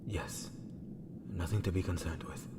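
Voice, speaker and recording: A man playing a reserved, courteous lord in an audio drama, speaking formally and haltingly. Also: low-pitched, fairly even intonation, a little mellow, a little hiss or hum. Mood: uneasy, guarded. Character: reserved, courteous male lord, awkward and hesitant in love. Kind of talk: fan audio drama acting.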